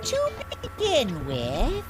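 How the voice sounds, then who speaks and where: A woman voicing a small, gleeful, sinister mascot creature in a video game, theatrical and mocking, with loud music underneath. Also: a little high, wildly swooping pitch, extremely slow, exaggerated voice. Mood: mock-formal glee. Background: loud background music.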